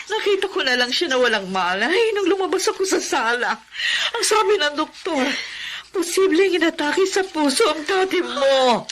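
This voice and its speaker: An actress playing a shaken middle-aged wife who pleads through tears in a classic radio drama. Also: female voice, high voice, lively intonation, moderate pace, fairly bright tone, a little hiss or hum. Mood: shaken, tearful. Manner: trembling, pleading speech.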